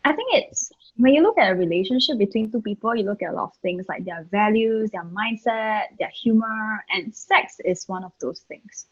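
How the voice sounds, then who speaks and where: A woman's voice: medium pitch, animated, normal speed, hardly any pauses, husky, muffled recording. A woman in her twenties with a Singaporean accent, speaking frankly and laughing as a guest on a casual panel discussion. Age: 20s.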